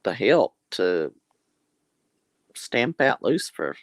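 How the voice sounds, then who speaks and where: A woman chatting casually with her viewers in a folksy, religious way, calling them honey. She keeps clearing her throat as her voice gives out. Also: extremely low pitch, very animated, takes it slowly, dry recording. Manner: religious, often says Lord have mercy. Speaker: vlogger reading viewer comments. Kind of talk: casual live chat.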